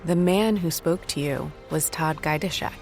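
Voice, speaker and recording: A woman's voice: sits low, normal speed, creaky, darkish tone, soft background music. A woman reading scripted credits as the announcer of a radio drama.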